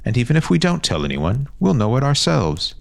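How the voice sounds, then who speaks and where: A man voicing an excited, coaxing boy who leads his friends on adventures in an audio story. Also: mid-range voice, a little fast, vocal fry, voice pushed up. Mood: insistent. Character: eager, persuasive adventurous boy, ringleader of friends.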